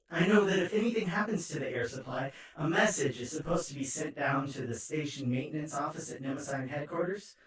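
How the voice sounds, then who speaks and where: Theatrical rogue AI, heavily echoing. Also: male voice, mid-range voice, a bit bright. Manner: theatrical, chatty, taunting. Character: smug rogue station AI, gleefully murderous, theatrical. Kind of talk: audio drama monologue. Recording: echoing, distant-sounding.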